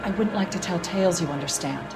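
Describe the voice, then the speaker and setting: Actress as guarded, flustered housekeeper, music behind. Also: female voice, lowish voice, fast talker, close to an everyday voice, rough recording. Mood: guarded, evasive. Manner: hesitant, evasive, primly proper. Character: guarded, flustered female housekeeper, proper yet gossipy. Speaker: domestic worker. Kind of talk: video game cutscene acting.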